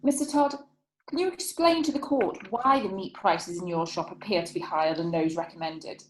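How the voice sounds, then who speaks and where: A woman's voice: lowish voice, moderate pace, echoey room, lightly acted, low-quality recording. An actress playing a crafty female defence lawyer in a period courtroom play, formal and insinuating. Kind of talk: theatrical courtroom play acting.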